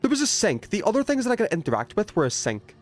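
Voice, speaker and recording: A man doing casual, excitable gaming commentary, thinking aloud in slang as he chats with stream viewers. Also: high voice, quick pace, faint music behind. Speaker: video game livestreamer.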